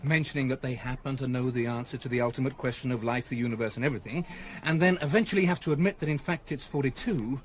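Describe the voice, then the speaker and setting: An actor playing a brash, cocky, hip space chancer in a radio comedy, slangy and pushy. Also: male voice, medium pitch, fast talker, slight background noise, close to an everyday voice. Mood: cocky, impatient. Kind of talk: comic radio drama acting.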